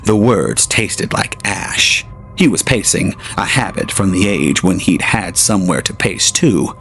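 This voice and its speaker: Male drama narrator, gravelly, measured storytelling over soft music. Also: low voice, lively intonation. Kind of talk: scripted audio drama narration.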